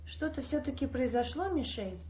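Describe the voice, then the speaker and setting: An actress playing a loving, teasing wife who can turn strict, in a radio play. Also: female voice, sits high, expressive, normal speed, mellow, lo-fi sound, a little hiss or hum. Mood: insistent, stern. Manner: tender, teasing, mock-stern. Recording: roomy echo with music behind.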